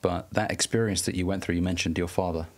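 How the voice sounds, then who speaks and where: A man hosting a conversational podcast interview, speaking in an earnest, measured manner with some hesitant phrasing. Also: sits low, steady tone. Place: podcast studio.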